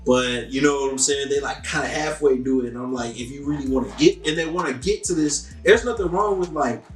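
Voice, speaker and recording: A man's voice: mid-range voice, fairly quick, recorded from a distance. A young man giving rambling, laid-back answers in an interview, full of "um" and "like". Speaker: musician interviewee. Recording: roomy, off-mic, music faintly behind.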